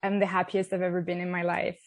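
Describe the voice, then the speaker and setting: A woman's voice: fairly low pitch, monotone, quick pace, dry recording. Guest, French woman, 30s, hesitant storyteller, dry recording. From south of France. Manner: hesitant, many ums and restarts. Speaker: guest, app founder. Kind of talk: interview conversation. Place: outdoors by the sea.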